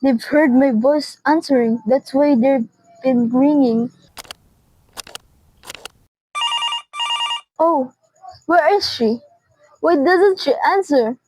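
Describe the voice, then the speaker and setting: A woman's voice: sits high, slow pace, warm tone, slight background noise, poor audio. A teenage girl playing a frantic, imperious, nervous housebound wife in an amateur radio drama, indignant and haranguing. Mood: anxious, fearful. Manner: indignant, haranguing, repeats phrases. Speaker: student performer.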